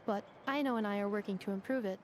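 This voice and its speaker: A woman voicing a polite, precise young female robot assistant in a video game, speaking in a measured, formal, clinical way. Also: mid-range voice, a little hiss or hum, close to an everyday voice, rough recording.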